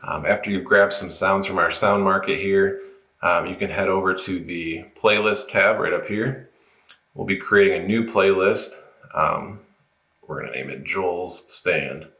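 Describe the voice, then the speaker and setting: A man's voice: deep voice, unhurried, pushed and bright. A man presenting an informal, unscripted app walkthrough, explaining each step as he goes in a roomy space. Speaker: product tutorial presenter.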